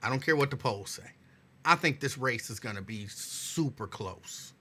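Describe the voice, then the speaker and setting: A man's voice: mid-range voice, expressive, normal speed, bright, forceful tone. A male talk show host losing his voice, chatting with listeners and repeating phrases. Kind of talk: casual talk show chat.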